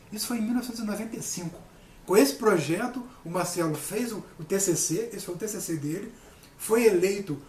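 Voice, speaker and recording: A man, middle-aged, giving an informal talk as a lecturer, earnest and emphatic, repeating phrases for stress. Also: sits high. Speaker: university lecturer and guest speaker. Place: indoor room with people present. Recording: echoey room, distant mic, faint noise.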